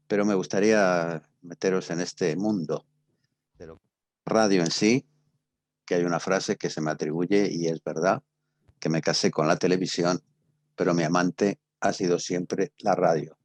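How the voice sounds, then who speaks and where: An older man with a Castilian Spanish accent speaks in a low-pitched voice with very little room sound. He lectures like a teacher, halting between short phrases as he explains things. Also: darkish tone, no echo. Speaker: teacher giving a radio theatre workshop. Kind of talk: introductory lecture.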